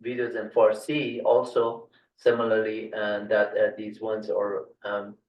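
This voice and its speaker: A man born in Afghanistan whose first language is Dari, giving a tech tutorial over a screen share, halting with many fillers and restarts. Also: on the low side, monotone, a little mellow, a little hiss or hum. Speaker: tutorial instructor. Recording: roomy, distant, dull lo-fi mic.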